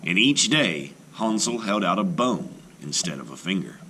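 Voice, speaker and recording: A man, a teacher, reading a folktale aloud for children with expressive narration. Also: deep voice, moderate pace, slight background noise. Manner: expressive, rising and falling with the tale. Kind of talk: storybook read-aloud narration.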